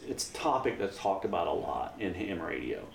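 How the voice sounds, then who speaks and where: A man's voice: low-pitched, very animated, normal speed, creaky. A man speaking casually as an amateur radio operator being interviewed, explaining how things work. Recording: roomy echo, some background noise.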